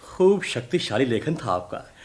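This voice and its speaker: Lively, respectful young man. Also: medium pitch, measured pace. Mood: earnest, admiring. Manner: polite, earnest. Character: respectful, eager young male small-newspaper publisher, attentive helper. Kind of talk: radio drama acting.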